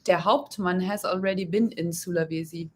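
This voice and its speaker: A young woman co-hosts a relaxed live chat, her voice sitting a little low. She laughs easily and chimes in with short, friendly remarks. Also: normal speed, a little hiss or hum. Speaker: co-host of a travel vlog. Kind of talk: casual live-stream chat.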